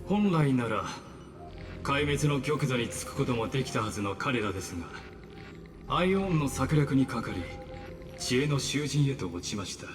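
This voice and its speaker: An actor playing a courteous, coolly scheming villain in a Japanese video game, formal and insinuating. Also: male voice, medium pitch, lively intonation, quick pace, natural voice. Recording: long reverb under loud game music.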